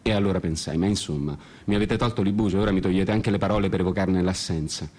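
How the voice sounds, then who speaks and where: A man narrating a scripted first-person story in an ironic, disenchanted, deliberately repetitive way. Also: low-pitched, darkish tone. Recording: prominent music under the voice. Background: plucked guitar music.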